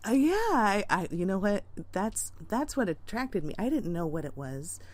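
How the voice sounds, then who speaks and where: A woman caller chatting casually on the phone, halting and amused, with a giggly way of talking. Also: medium pitch, lively intonation, darkish tone.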